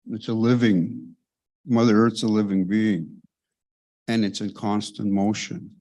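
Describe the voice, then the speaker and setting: An older man, a native Cree speaker, speaking a measured opening prayer and reflection at a podium on a hall stage. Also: lowish voice, darkish tone. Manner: slow, measured, pausing often. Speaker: elder giving an opening prayer. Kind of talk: slow spoken prayer and reflection. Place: large lecture hall stage. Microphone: podium microphone.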